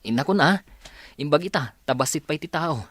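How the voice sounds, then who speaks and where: An actor with a Filipino accent playing an earnest, respectful grown son in a radio drama. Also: male voice, a little high, lively intonation, fairly quick, fairly bright tone, dry recording. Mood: earnest, worried. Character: respectful adult son confiding in his mother.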